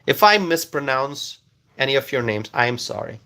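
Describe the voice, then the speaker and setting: A man whose first language is Bengali hosts a relaxed live stream and reads out viewer comments. His voice is bright and forward, set at an ordinary middle pitch. He halts now and then on fillers, but he stays friendly and keeps thanking people by name. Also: moderate pace, creaky. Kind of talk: casual live stream chat reading comments.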